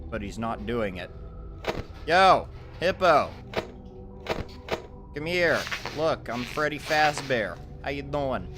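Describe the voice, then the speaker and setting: A man's voice: mid-range voice, expressive, slow pace, pauses often, music in the background. A man doing casual, slangy livestream commentary while playing a game, in a playful manner.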